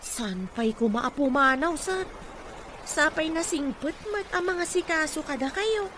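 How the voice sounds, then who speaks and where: A woman's voice: sits high, expressive, unhurried. An actress with an Ilocano accent playing a mild, respectful female caregiver in a Filipino radio drama. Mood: worried. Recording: noisy background ambience.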